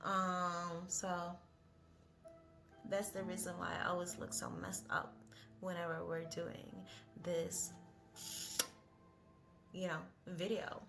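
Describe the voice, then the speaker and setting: A woman's voice: lowish voice, little pitch movement, slow pace, long pauses, music in the background. A young woman, not a native English speaker, talking in a casual, rambling vlog with many hesitant fillers. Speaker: vlogger.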